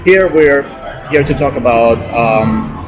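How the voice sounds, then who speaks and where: A man in his thirties from the United States, hosting a travel vlog in a relaxed, chatty way with fillers, outdoors in a city and then in a restaurant. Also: mid-range voice, slow, a crowd in the background, rough recording. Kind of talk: casual interview chat.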